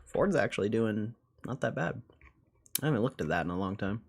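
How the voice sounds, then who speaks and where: A man's voice: fairly low pitch, expressive, fairly quick, gravelly, husky. A man livestreaming casual stock commentary, thinking aloud in a blunt, slangy, offhand way with plenty of swearing. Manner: foul-mouthed, blunt.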